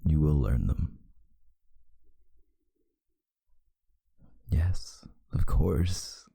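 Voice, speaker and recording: A man voicing a possessive yet tender male vampire lover in an intimate, hushed ASMR roleplay, often slipping into a whisper. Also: lively intonation, dry recording, studio quality, heavily acted. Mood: adoring, reassuring. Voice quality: very deep, breathy, gravelly, often whispering. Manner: slow, hushed, soothing, seductive.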